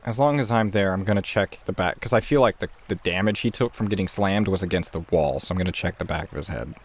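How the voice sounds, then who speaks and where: A man's voice: deep voice, fast talker. A man playing a tabletop horror game, casually describing his character's moves and speaking in character.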